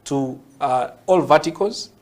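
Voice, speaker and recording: A man's voice: fairly high pitch, a little slow. Ugandan male guest; patient, explanatory, conversational. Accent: Ugandan English. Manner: patient, explanatory, many "yeah?" checks. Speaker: guest, tech entrepreneur. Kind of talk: interview answers, explaining.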